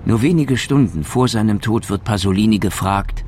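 A man's voice: on the low side, moderate pace, a little mellow, slight background noise, close to an everyday voice. A man reading scripted documentary-style narration and interview questions in a radio drama.

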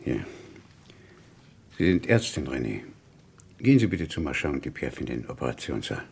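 A man acting a grave, discreet professor of surgery in a German radio crime drama, gravelly and husky, speaking formally with careful hesitations. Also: a bass voice, sing-song, moderate pace, a little mellow, exaggerated voice.

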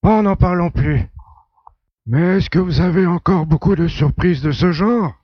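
A man acts out a space hunter piloting a zoo ship in an audio drama. He is frank and explanatory, his voice a little high for a man, and the sound is slightly dull. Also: measured pace, no echo. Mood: mildly put out. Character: male space hunter piloting a zoo transport, forthright, matter-of-fact.

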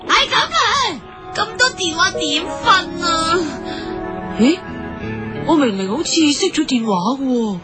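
A woman acts out an exasperated young woman with a Hong Kong Cantonese accent in a radio drama. Her voice is very high and swoops around in whiny exclamations, with loud music underneath. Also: lively intonation, slow, pauses often, exaggerated voice. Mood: irritated. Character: exasperated young woman pestered by night phone calls.

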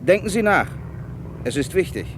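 A man's voice: high-pitched, very animated, normal speed, noisy background. A man playing a probing, businesslike police inspector in a German radio crime drama, questioning insistently in clipped phrases. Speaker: actor.

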